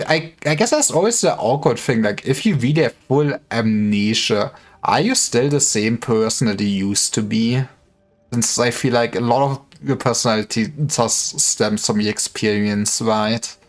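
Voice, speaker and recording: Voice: medium pitch, normal speed. A game streamer chatting casually on a livestream, thinking aloud and breaking off mid-thought. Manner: thinking aloud, self-interrupting.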